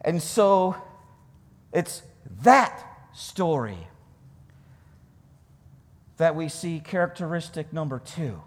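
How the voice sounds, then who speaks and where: A man delivers a sermon in a high voice, taking his time over each phrase. His delivery is deliberate and emphatic, repeating key words for weight. The sound carries the long reverberation of a large hall. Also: animated. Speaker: preacher. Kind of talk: sermon with scripture reading.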